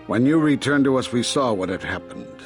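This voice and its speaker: An actor playing a stern, condemning older Jedi master in a video game, speaking gravely and deliberately with a husky voice. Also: male voice, medium pitch, lively intonation, over music, close to an everyday voice. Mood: stern, accusing. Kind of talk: dramatic video game dialogue.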